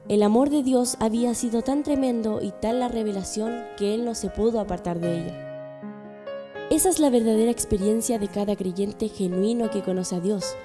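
A woman's voice: a little high, moderate pace, music in the background. A woman reading scripted narration for a religious radio drama.

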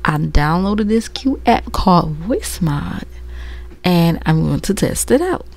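A woman vlogger giving a casual software demo, rambling and thinking aloud. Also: lowish voice, lively intonation, measured pace. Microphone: computer microphone.

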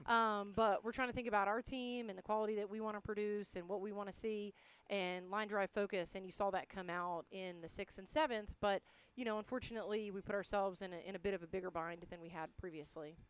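A woman in her thirties answers like a sports coach after a game. She talks fast in a smooth, clean voice at a middle pitch, upbeat and full of colloquial coaching phrases. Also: steady tone. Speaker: college softball head coach. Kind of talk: postgame interview. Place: indoors in front of a backdrop. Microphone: headset.

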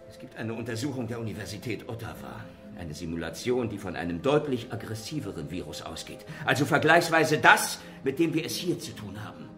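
An actor playing a rational, lecturing doctor with a measured, formal manner in a horror radio drama. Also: male voice, a little high, bright, forceful tone. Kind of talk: German radio drama acting.

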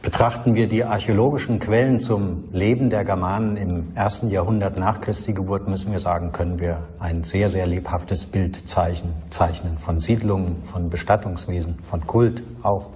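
A man giving an expert explanation in an interview, deliberate and repeating phrases for emphasis. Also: low-pitched, steady tone, warm tone.

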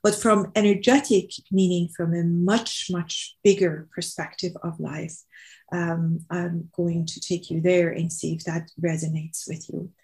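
A middle-aged woman speaks as a podcast host and coach. Her voice sits a little low, and she explains ideas in a measured way, leaving deliberate pauses as she goes. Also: fairly even intonation, a little mellow.

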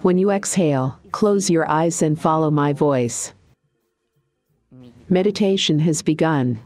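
A woman with a low voice narrates a guided meditation. She speaks calmly and deliberately, giving soothing instructions in short phrases with pauses. Soft background music sits faintly beneath her.

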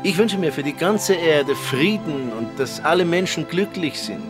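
A man's voice: a little high, lively intonation, moderate pace, close to an everyday voice. A man playing a vain, cynical TV entertainer, music behind. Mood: sanctimonious. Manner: showy on air, dismissive and coarse otherwise. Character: cynical, vain, foul-mouthed TV show entertainer. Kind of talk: radio comedy interview sketch.

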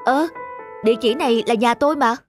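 An actress playing a fretful, loving mother in an animated drama. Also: fairly high pitch, brisk, lightly acted. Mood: grateful, surprised. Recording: loud music behind the voice.

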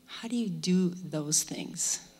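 Low-voiced woman asking in a reverberant hall. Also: takes it slowly, a little mellow, husky. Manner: rambling, hedged questioning. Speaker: moderator asking audience questions. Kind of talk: spoken question at a public talk.

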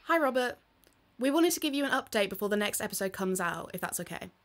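A woman's voice: mid-range voice, expressive, quick pace, a bit bright, natural voice. A woman playing a determined, truth-seeking podcaster investigating a case in a conversational audio drama. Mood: earnest.

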